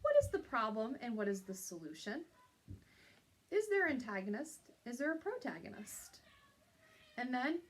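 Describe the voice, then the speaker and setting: A woman with a somewhat high voice speaks as a teacher to young pupils. She explains in an instructional way, point by point, and often adds short tag questions to check understanding. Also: sing-song, normal speed, pauses often.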